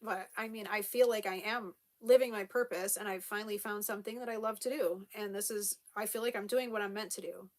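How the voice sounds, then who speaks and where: A woman's voice: medium pitch, steady tone, fairly quick, hardly any pauses. A young woman with a slight lisp talks casually to her camera at home. She rambles through viewer comments with fillers, restarts and frequent laughter. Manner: chatty, laughs often, many fillers and restarts. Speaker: vlogger reading viewer comments.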